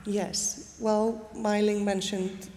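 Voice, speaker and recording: Gravelly low woman from Iceland. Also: level tone, extremely slow, dark tone, a little hiss or hum. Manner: hesitant, many fillers. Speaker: panelist, city official. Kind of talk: conference panel talk. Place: large conference stage. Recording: long reverb, faint ambience.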